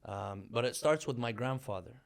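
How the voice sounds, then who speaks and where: A man in his thirties, born in Iran, a religious scholar speaking as a guest in a relaxed interview with a measured, thoughtful manner. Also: mid-range voice, vocal fry. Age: 30s. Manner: measured, thoughtful storytelling with fillers. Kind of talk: conversational interview.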